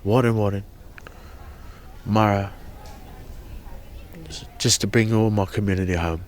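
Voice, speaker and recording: A man's voice: lowish voice, steady tone, a little slow, a little mellow, slight background noise. A man giving an earnest, impassioned personal account as a protester, often repeating and restarting his words. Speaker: protester at a sacred site camp.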